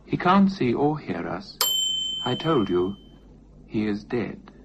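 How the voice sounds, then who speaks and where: A man's voice: medium pitch, big pitch swings, slow pace. A man reading as a composed little girl, lo-fi. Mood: matter-of-fact. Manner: composed, plain-spoken. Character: calm, matter-of-fact little girl of seven or eight. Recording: dull lo-fi recording with faint noise.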